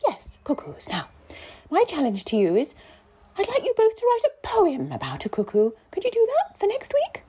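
A woman voicing a fussy, dotty elderly female poetry teacher with a croaky, theatrical voice and whispered asides. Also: wildly swooping pitch, moderate pace, dark tone. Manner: swooping pitch, whispered asides.

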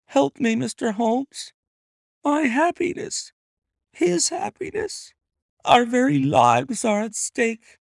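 A frantic, tearful noblewoman begging for mercy, put on by a man. Her high voice swoops wildly, with a gravelly edge. The recording is close and dry. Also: very animated, slow, no room sound, full character voice. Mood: desperate. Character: desperate aristocratic young wife pleading.

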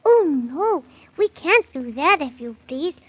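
Timid, well-mannered six-year-old boy, very high voice, slow delivery, vintage radio bedtime serial. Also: big pitch swings, full character voice. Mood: earnest. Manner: timid, polite, breathy exclamations. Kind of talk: 1930s children's radio drama acting. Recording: dull 1930s radio recording, music behind.

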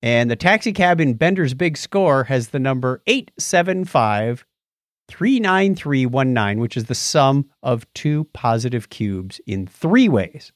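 Animated man chatting on a podcast. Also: medium pitch, a little slow, dry recording, clean studio sound. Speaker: podcast co-host. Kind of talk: casual podcast chat.

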